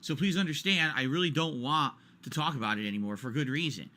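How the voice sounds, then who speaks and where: A man's voice: fairly high pitch, fast talker, bright, forceful tone. Exasperated forty-something man ranting. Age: 40s. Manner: exasperated, repetitive, emphatic. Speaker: streamer. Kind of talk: ranting stream monologue.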